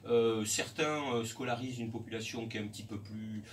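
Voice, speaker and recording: A middle-aged French man, a school principal, speaking in a radio interview in a measured way, often pausing and restarting. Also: on the low side. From France. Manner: measured, hesitant with many restarts. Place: radio studio. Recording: distant mic with faint background noise.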